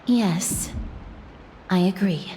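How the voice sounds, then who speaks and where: A woman's voice: mid-range voice, drawn-out words, warm tone, a little hiss or hum. A woman playing a polite, guarded young goddess of death in a video game, speaking formally and earnestly. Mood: polite, reserved. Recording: long hall-like echo, music underneath. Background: game music.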